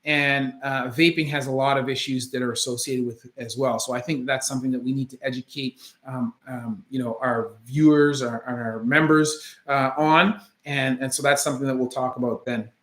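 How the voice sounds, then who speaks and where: A man from Canada with a faint Jamaican trace in his Canadian accent. He is an orthopedic surgeon answering viewer questions in a casual livestream, talking in a measured way with many ums and restarts. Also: mid-range voice, fairly even intonation, fairly bright tone. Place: his home office. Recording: roomy echo in a room.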